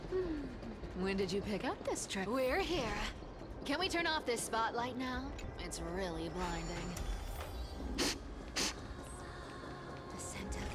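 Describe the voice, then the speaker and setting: A woman's voice: sits high, full character voice. A woman playing a sly, greedy young female trickster thief in a video game, teasing and theatrical. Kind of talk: video game character acting.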